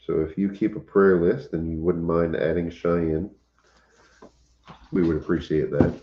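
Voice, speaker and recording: A Cajun man hosting a casual homestead livestream, easygoing and quick to chuckle. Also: low voice, steady tone, a little hiss or hum. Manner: easygoing, chuckles often, occasional coughs. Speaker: homestead channel host. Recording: echoey room, dull lo-fi sound.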